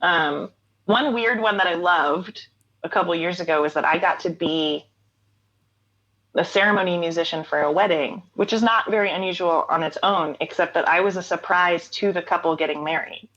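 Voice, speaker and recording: Woman, forties, low voice, chatty storyteller, muffled lo-fi audio. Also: normal speed, fairly bright tone. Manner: chatty, laughs often, storytelling. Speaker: guest, singer-songwriter. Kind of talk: casual interview chat.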